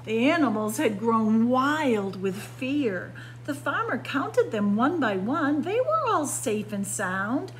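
Female picture book narrator: unhurried, dramatic phrasing, recorded indoors with background noise. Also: very animated, low-quality recording. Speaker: library story time reader. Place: indoors by bookshelves. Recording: clear background noise with low hum.

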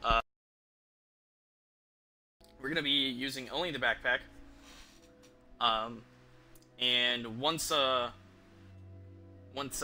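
A young man chats as a game streamer, with an average-pitched voice and music clearly behind him. His talk is informal and rambling, with some swearing. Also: pushed and bright. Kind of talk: casual live game commentary. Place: indoor room at a streaming setup. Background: game music and sounds.

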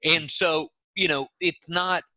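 A man's voice: a little high, fairly even intonation, slow, pushed and bright, no room sound, rough recording. A man, a guest author talking emphatically on a video call over a headset. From born in Israel, raised in Los Angeles. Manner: emphatic, insistent, talks with his hands. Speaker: guest, author and creativity expert. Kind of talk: animated interview conversation. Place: home room with bookshelves.

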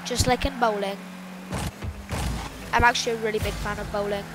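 A woman giving loud, excitable, casual commentary while playing a video game, exclaiming often. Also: mid-range voice, fairly quick. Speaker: gameplay commentator. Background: game music.

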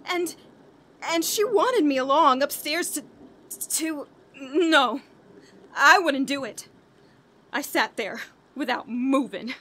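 Actress as a high-pitched, frantic haunted woman. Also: expressive, pushed and bright, exaggerated voice. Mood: frightened, revolted. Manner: halting, overwrought, dramatic. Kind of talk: 1940s radio horror acting, dramatic monologue.

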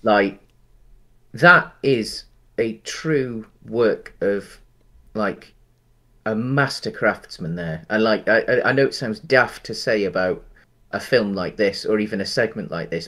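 A man's voice: fairly low pitch, slow pace, bright, forceful tone. A man in his thirties co-hosting a casual film chat over a headset on a video call from home, rambling with many restarts and fillers. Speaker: podcast co-host.

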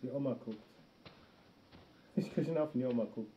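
A man's voice: medium pitch, brisk, dark tone. A middle-aged German man streaming a horror game, muttering to himself and chatting with viewers. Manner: talks to himself while playing, reacts to chat. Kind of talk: let's play commentary. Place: small room indoors. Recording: dull stream audio with noise.

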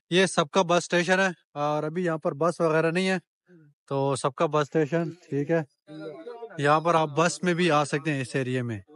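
A man's voice: fairly high pitch, no room sound. A man giving a chatty walking-tour vlog of a street market, talking straight to viewers and bargaining with shopkeepers as he films. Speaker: travel vlogger.

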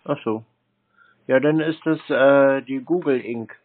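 A man's voice: mid-range voice, slow, no echo. A man in a casual phone conversation, answering briefly and noncommittally with lots of small hums. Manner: laconic, noncommittal, brief answers with many "mhm"s. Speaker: call recipient, customer. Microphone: landline telephone. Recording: dry but tinny landline phone audio.

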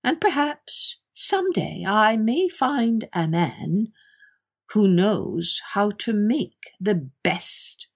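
Calm, stern old hermit, read by a woman narrator; slow and husky, no pity in it. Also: medium pitch, exaggerated voice. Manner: measured, stern.

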